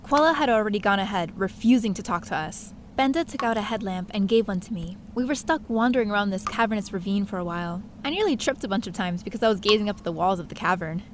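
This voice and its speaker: A woman's voice: mid-range voice, animated, quick pace, few pauses, a little hiss or hum, natural voice. A woman playing a curious, sheepish young adventurer who narrates her own story in an audio drama. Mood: reflective. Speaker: lead character and narrator. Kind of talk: first-person scripted story narration with dialogue.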